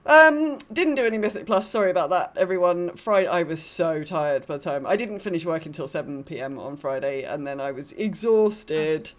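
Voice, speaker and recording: A woman's voice: on the low side, lively intonation, normal speed, hardly any pauses. Informal, rambling woman podcaster. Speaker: podcast co-host. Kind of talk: casual chat about gaming week.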